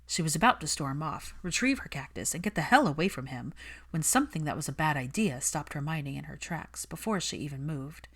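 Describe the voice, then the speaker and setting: A woman's voice: deep voice, lively intonation, a little fast, a bit bright, breathy edge. A woman reading scripted fiction as an audiobook narrator, in a dramatic storytelling style.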